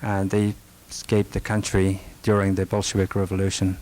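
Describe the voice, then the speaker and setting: A middle-aged man from northern Afghanistan with an Afghan accent, speaking halting English with many restarts while answering questions at a library talk. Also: deep voice, completely flat delivery, rough recording. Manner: halting, frequent restarts and fillers, soft-spoken. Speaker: rug merchant giving a talk. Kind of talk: answering audience questions at a presentation. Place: library meeting room. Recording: faint background hiss, archival recording.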